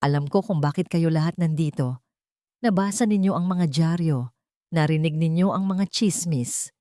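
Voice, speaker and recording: A woman voicing a composed heiress executive who speaks calmly, coldly and with command. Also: sits low, normal speed, dry recording, clean studio sound, close to an everyday voice. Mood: steady. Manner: calm, cold, commanding.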